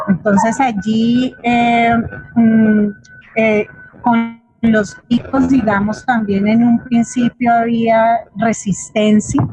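A middle-aged Colombian woman speaking as an interviewed theatre teacher, explaining reflectively and haltingly, with frequent little checking questions. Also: mid-range voice, a little mellow, dry recording, rough recording. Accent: Colombian Spanish. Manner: explanatory, hesitant, often adds checking tag questions. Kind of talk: reflective spoken answer in an online forum.

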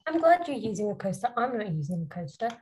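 A woman's voice: medium pitch, big pitch swings, quick pace, noisy background. A young British woman, a university student, chatting casually with lots of fillers. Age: young adult. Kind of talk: casual chat.

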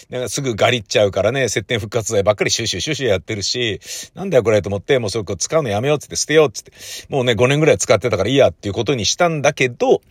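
A man's voice: mid-range voice, dry recording, clean studio sound. A man who hosts radio, chatting casually on his own in a rambling, conversational way. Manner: rambling, conversational, with frequent sentence-ending "ne" tags. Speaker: radio show host and producer. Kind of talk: casual solo chat.

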